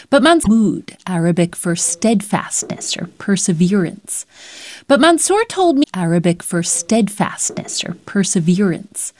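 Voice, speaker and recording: A woman narrates a news feature at a mid pitch. She is measured and explanatory, with an even delivery. Her tone stays steady throughout. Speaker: reporter.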